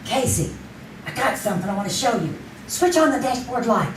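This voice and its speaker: A woman's voice: a little high, expressive, a little fast, background noise, lightly acted. An actress playing a stubborn, intuitive female sidekick in an old-time radio drama, with an insistent, knowing manner. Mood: sly, knowing. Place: community theater stage. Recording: roomy, distant live stage recording.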